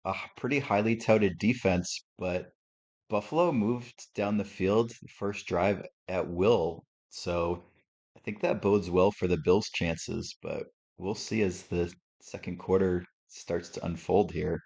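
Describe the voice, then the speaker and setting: A man with a deep, sportscaster-like radio voice, hosting a relaxed live reaction stream from home and thinking aloud as he talks. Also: slow, husky. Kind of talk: casual live game reaction commentary. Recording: slightly muffled lo-fi audio.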